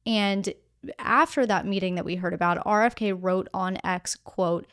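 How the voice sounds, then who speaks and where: Female news podcast host, smooth and clean tone, medium pitch, measured scripted delivery with conversational asides. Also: a bit bright. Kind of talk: scripted news narration with casual explanatory asides.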